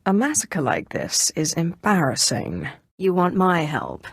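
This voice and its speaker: An imperious Sith sorceress for a video game. Her voice sits fairly low and is recorded close and dry. She speaks with deliberate, haughty theatricality and cool, cunning menace. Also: very animated, slightly rough, dry recording, exaggerated voice. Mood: haughty, commanding.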